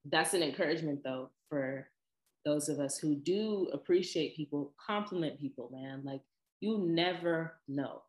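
A young woman in her twenties with a strikingly deep, low voice tells personal stories in a casual vlog. She talks in a chatty, expressive way and laughs easily between asides. The sound has a noticeable echo, as if recorded in a bare room. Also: measured pace. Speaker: vlogger. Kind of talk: casual personal storytelling to camera.

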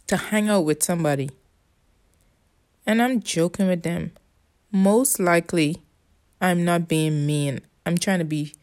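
A woman's voice: on the low side, darkish tone. A woman from the Bahamas with a Bahamian accent, rambling in a casual monologue, blunt and profane, with an exasperated edge. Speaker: vlogger.

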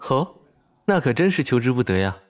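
A man's voice: medium pitch, sing-song, fairly quick, close to an everyday voice. An actor playing a confident, devoted young male office colleague and suitor in a romantic audio drama. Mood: easygoing. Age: young adult. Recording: plucked guitar music behind the voice.

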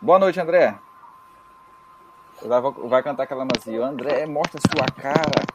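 A man's voice: medium pitch, unhurried, highs cut off, a loud crowd. A man with a Brazilian Portuguese accent hosting a casual podcast, joking and bantering with his co-hosts. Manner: lively, jokey presenter banter. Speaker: podcast host.

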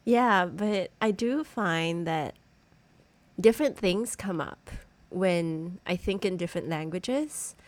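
A woman's voice: fairly low pitch, takes it slowly, dry recording. Reflective woman co-hosting a podcast. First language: English. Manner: reflective, laughs easily, curious questions. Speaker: podcast co-host. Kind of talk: casual conversational podcast.

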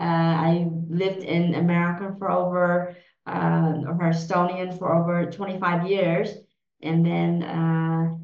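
A woman's voice: on the low side, darkish tone. A woman in her forties from Vietnam, a native Vietnamese speaker, answering interview questions hesitantly with many fillers and restarts. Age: 40s. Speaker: podcast guest. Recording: roomy, dull lo-fi sound.

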